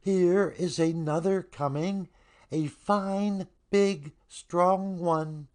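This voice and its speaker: A man's voice: high voice, fairly even intonation, drawn-out words, darkish tone. A man playing a cheerful, hopeful little toy clown in a children's storybook reading, eager and encouraging.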